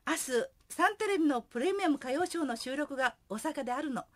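An actress playing a worried young singer, an earnest, sighing daughter in love, in a radio drama. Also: female voice, sits high, lively intonation, fast, fairly bright tone. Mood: hopeful.

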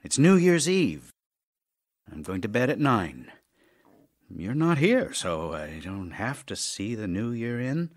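A man playing an ailing, lonely middle-aged writer in an audio drama, wry and tender toward his wife, gently chiding and wistful. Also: mid-range voice, very animated, slow. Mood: wry, longing. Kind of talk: audio drama acting, reading love letters.

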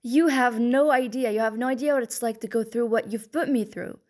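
A woman narrator voicing a furious, wounded young woman who shouts bitterly. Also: on the high side, animated, normal speed, natural voice.

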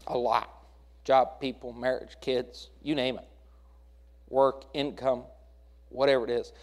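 A man's voice: medium pitch, flat delivery, measured pace. An American man preaching a sermon as a pastor, exhorting his congregation with questions, repeated phrases and emphatic lists.

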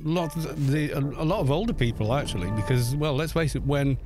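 A man's voice: on the high side, brisk, over music. Chatty Welsh man in his fifties. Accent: Welsh with some northern English. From Wales. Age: 50s. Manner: chatty, rambling, laughs easily, reads chat aloud. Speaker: game streamer. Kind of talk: live stream commentary while playing.

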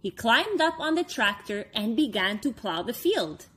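A woman in her thirties reading a children's storybook aloud, expressively and with deliberate phrasing for young listeners. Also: fairly high pitch, very animated, sharp tone, slightly rough. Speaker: story time reader for children.

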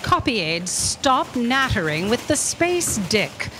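A woman's voice: fairly high pitch, sing-song, takes it slowly. A woman playing an imperious, impatient boss in a staged audio drama, commanding, curt and scornful. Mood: bossy. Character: imperious, impatient female boss of destiny office. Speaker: stage actor. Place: theatre stage, indoors. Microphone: stand microphone. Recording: noisy live stage recording.

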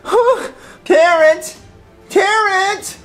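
A man's voice: very high-pitched, drawn-out words, fairly bright tone, faint music behind, full character voice. A man voicing an anxious, naive little boy puppet in a scripted comedy, hesitant and stammering. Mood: tearful distress. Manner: hesitant, stammering, repeats phrases when worried.

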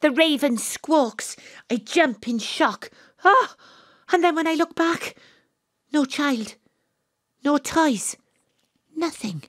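Excitable young Welsh female sleuth, audio drama, breathless suspense, close dry studio sound. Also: sits high, slow pace, pauses often, no room sound, heavily acted. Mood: shaken. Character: Astrid, excitable young Welsh woman investigator, narrating. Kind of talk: audio drama narration and dialogue.

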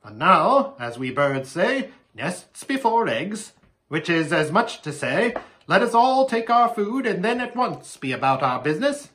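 Man as a talking raven, croaking, high, bright, sceptical, courtly, storybook. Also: big pitch swings, a little slow, full character voice. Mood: approving counsel. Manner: courtly, sententious.

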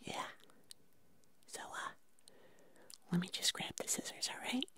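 A woman doing a gentle, pampering ASMR roleplay, speaking with many pauses. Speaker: ASMR performer. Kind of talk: whispered ASMR personal attention roleplay.

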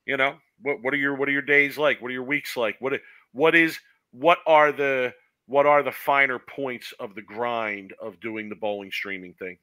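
Bright-voiced, emphatic podcast host. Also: male voice, mid-range voice, no room sound. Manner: emphatic, repeating for stress. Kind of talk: casual podcast chat. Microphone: video call.

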